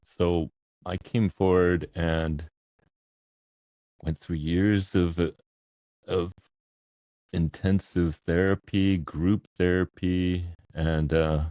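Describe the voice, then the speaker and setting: Man, guest speaker, personal testimony, very deep voice, muffled lo-fi audio. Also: darkish tone, dry recording. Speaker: guest speaker, podcast co-host. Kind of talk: personal testimony to an online audience.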